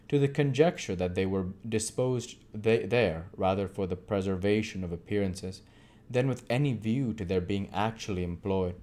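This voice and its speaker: A man's voice: lowish voice, darkish tone. A man reading literary narration aloud as an amateur audiobook reader.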